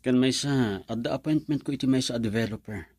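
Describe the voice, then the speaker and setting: Courteous professional man, played by an actor, medium pitch, Ilocano Filipino accent, attentive, radio drama, dry studio sound. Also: brisk, darkish tone, husky, no room sound, lightly acted. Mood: businesslike.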